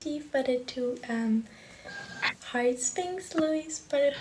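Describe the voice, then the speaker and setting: A woman's voice: high-pitched, slow, background noise. A young woman from California hosting a live stream from a phone in a small room, greeting viewers by name and thanking them with frequent giggles. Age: young adult. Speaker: livestream host. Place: small indoor room, in front of a curtain. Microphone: phone camera at close range.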